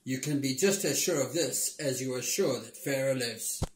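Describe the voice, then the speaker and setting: A man's voice: medium pitch, moderate pace, slight background noise, lightly acted. A man playing a mean, stern governor who accuses and commands, in a storybook-style dramatic reading. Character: stern, mean male governor interrogating suspects. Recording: roomy indoor echo.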